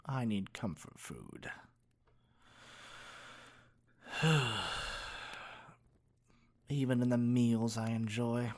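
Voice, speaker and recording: A man's voice: lowish voice, slightly rough, exaggerated voice. A man voicing a spoiled, haughty evil king in an ASMR roleplay, speaking in a theatrical, condescending drawl. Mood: self-pitying, indulgent.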